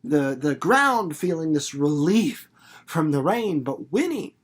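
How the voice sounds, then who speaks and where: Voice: medium pitch, big pitch swings, takes it slowly, slightly rough. A teacher with a mid-range voice hosts a read-aloud lesson in a loose, chatty way. The delivery rambles and circles back, repeating words for emphasis. Explanations come with playful, theatrical little demonstrations aimed at students. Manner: rambling, theatrical, with acted-out examples and sound effects. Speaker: reader and literature teacher hosting a read-aloud video series.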